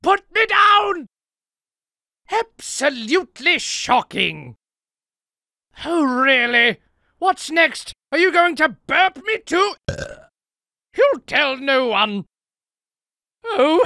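A man's voice: extremely high pitch, sing-song, very slow, pauses often, sharp tone, no echo, clean studio sound, full character voice. A man voicing a fussy, indignant middle-aged civilian for improvised video game lines, prim, whiny and pleading. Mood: exasperated.

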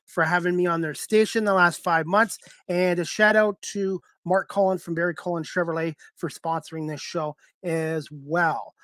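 A man's voice: high voice, a bit bright, no room sound, studio quality. A middle-aged man, hosting a talk show over a headset on a video call. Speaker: sports talk show host.

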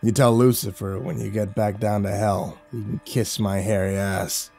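A man voicing a cruel, crude brute who taunts in a low, quiet sneer. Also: steady tone, normal speed, vocal fry, faint music behind.

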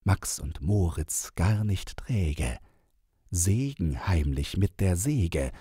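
A man reading a rhymed children's story aloud as an audiobook, in a deliberate, theatrical manner. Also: sits low, very animated, warm tone, breathy edge, no echo, studio quality. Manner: deliberate, theatrical, measured verse delivery. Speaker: audiobook reader.

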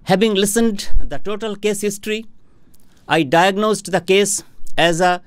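A middle-aged man from India, a homeopathic doctor, explaining a health topic to camera in a formal, deliberate way, speaking into a studio microphone. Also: sits high, clean tone, dry recording. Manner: slow, deliberate, formal explaining with hand gestures. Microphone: studio microphone on a boom arm in front of him.

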